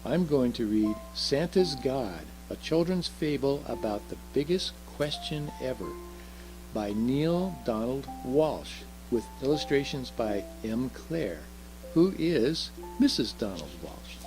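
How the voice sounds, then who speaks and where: Creaky-voiced man reading a children's story. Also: medium pitch, animated, slow pace, low-quality recording. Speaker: storybook reader in Santa role. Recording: music behind the voice.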